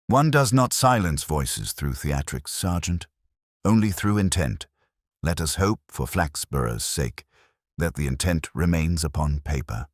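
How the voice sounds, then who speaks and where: A man playing a deep, deliberate police inspector. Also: expressive, dry recording, studio quality, full character voice. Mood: quietly resolute. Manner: measured, cryptic, formal. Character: mild, deliberate, thoughtful male police inspector.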